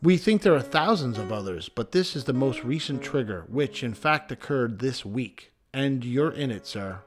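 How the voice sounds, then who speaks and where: A man voicing an earnest government scientist in an animated comedy, explaining things in a formal way. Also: medium pitch, moderate pace, faint music behind, natural voice.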